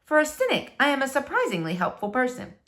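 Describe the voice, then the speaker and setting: Woman playing a bold, high-voiced young girl. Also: huge pitch swings, fast talker, fairly bright tone, full character voice. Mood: determined.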